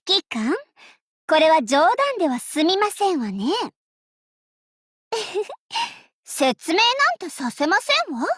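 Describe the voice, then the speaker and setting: A woman's voice: high-pitched, sing-song, slow, pauses often, dry recording, clean studio sound, exaggerated voice. A woman voicing an elegant young lady idol in an anime game, proud and playful, with refined, teasing, theatrical speech and an easily flustered streak. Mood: teasing, confident.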